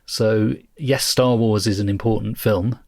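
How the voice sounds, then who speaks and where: A man with a fairly low voice talks casually as a podcast host. His delivery is measured and thoughtful, and the sound is dry and close. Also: fairly even intonation, vocal fry, darkish tone, dry recording.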